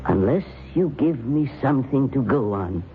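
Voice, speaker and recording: An actor playing a grave, scholarly professor of the occult, probing and measured, in an old-time radio drama. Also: male voice, medium pitch, animated, soft background music, lightly acted. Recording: tinny old radio broadcast, faint noise.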